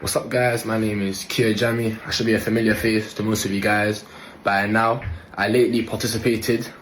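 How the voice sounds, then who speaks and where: A man's voice: lowish voice, level tone, a little fast, crowd noise, rough recording. A man introducing himself to the camera in a proud, upbeat way. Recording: clip with clear background noise.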